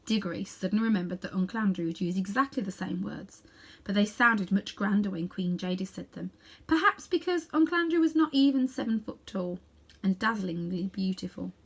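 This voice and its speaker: A woman's voice: mid-range voice, lively intonation, brisk, gravelly, slightly rough. A woman narrating a children's novel expressively as a storybook reader.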